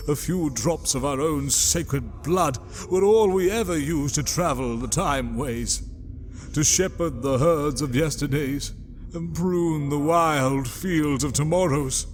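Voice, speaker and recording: Guy doing a gentle worried time god, kinda high and slow, long reverb. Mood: appalled, pleading. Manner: earnest, pleading. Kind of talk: comic book audio drama acting. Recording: long reverberant hall, faint music.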